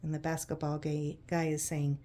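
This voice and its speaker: A woman narrating a picture book to children in an expressive, conversational way, using simple explanations and checking in with questions. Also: low-pitched, moderate pace. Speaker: children's read-aloud host.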